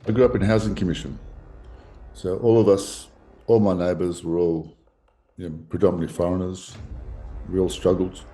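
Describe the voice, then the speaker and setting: A man's voice: low-pitched, steady tone, normal speed, long pauses, mellow. A man in his sixties with an Australian accent, talking bluntly and with many restarts in a casual interview. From Melbourne, Australia. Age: 60s. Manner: blunt, rambling, restarts often.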